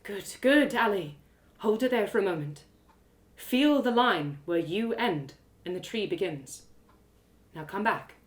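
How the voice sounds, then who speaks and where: A woman voicing a solemn, gentle elf mentor in a one-woman audio drama, speaking in a measured, earnest, instructive way. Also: mid-range voice, lively intonation, lightly acted. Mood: soothing, guiding.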